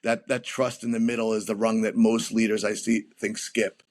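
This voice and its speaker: A man who is a guest on a business podcast, explaining his methods in a steady way while often repeating words as he restarts. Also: on the low side, moderate pace. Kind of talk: interview answers on leadership.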